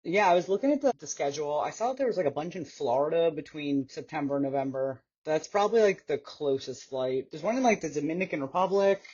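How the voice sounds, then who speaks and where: A woman with a slight New York accent chats as a bodybuilder guest on a podcast, thoughtful and quick to laugh. Her voice is husky and breathy. The recording sounds dull and lo-fi. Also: sits low, lively intonation, warm tone. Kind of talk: casual chat.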